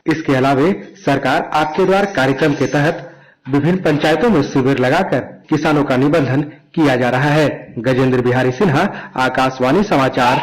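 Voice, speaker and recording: A male district correspondent speaks at a medium pitch. He reads a scripted report in a formal radio news style. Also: poor audio.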